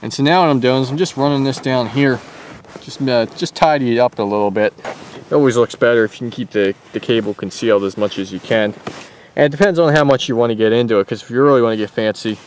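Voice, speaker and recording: A man with a mid-pitched voice talks through a job in a casual, rambling how-to style. He sounds easygoing and explains things loosely. There is faint outdoor and vehicle noise behind him. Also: quick pace. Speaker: host of a car radio install video. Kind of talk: casual hands-on how-to vlog. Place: in and around a parked car. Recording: steady background noise, outdoor and vehicle ambience.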